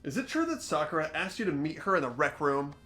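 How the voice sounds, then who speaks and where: A high, lively male voice acted by a man as an earnest teenage sleuth in a visual novel game. He thinks aloud, reasoning carefully with some hesitation. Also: fast, reverberant, soft background music. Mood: earnest, questioning. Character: earnest, hesitant teenage boy amateur sleuth, narrating thoughts.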